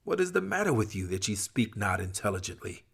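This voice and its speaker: Male reader as devout prophet, husky, declamatory, impassioned, challenging idol worshippers in formal scripture language. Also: lowish voice, very animated, brisk, heavily acted.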